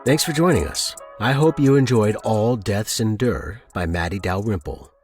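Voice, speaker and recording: A man's voice: lowish voice, normal speed, darkish tone, soft background music. A man hosting a story podcast and reading scripted narration. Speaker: podcast host and story reader.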